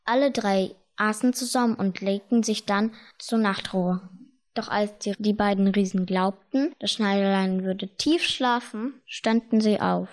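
A woman's voice: mid-range voice, fairly even intonation, a little slow. A woman reading scripted fairy-tale narration for a radio play.

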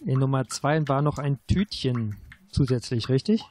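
A man's voice: mid-range voice, lively intonation, normal speed, a little mellow. A man playing as a contestant in a detective game, casually asking questions and suggesting the next move.

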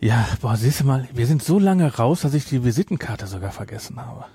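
Middle-aged man with a slightly creaky voice. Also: medium pitch, lively intonation, fairly quick, mellow, no room sound. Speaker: podcast host. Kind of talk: casual podcast chat.

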